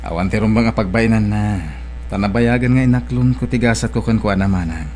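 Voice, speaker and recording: A man's voice: fairly low pitch, a little fast, a little mellow, slight background noise, natural voice. A man with an Ilocano accent acting a brash, stubborn village farmer in a radio-style drama. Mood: subdued.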